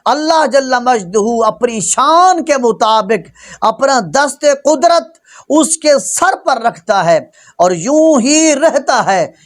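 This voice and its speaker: A man's voice: extremely high pitch, animated, takes it slowly. A man preaching an Islamic sermon as a rebuttal, emphatic and admonishing. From Pakistan. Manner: emphatic, admonishing, rhetorical questioning. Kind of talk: religious sermon, argumentative rebuttal.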